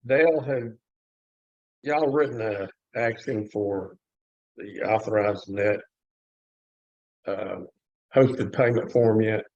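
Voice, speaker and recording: A man asking questions in a casual tech discussion, deliberately and in short phrases. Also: fairly low pitch, a little mellow, dry recording, highs cut off.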